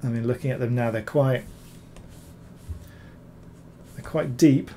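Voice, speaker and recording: A man, hoarse from recovering from illness, talking through a screen-recorded software tutorial, rambling with many ums and repeated words. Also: medium pitch, a little fast, slight background noise. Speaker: bas-relief sculptor reviewing software.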